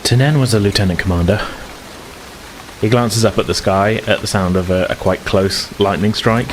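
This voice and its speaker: Low voiced guy narrating a tabletop game, halting, repeats himself, faint rain ambience behind. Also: noisy background. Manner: halting, repeats words while thinking. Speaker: game master.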